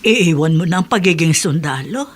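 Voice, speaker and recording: An actress playing a gentle, concerned older mother of a soldier in a radio drama, with a husky, breathy voice. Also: sits low, expressive, normal speed. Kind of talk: Tagalog radio drama acting.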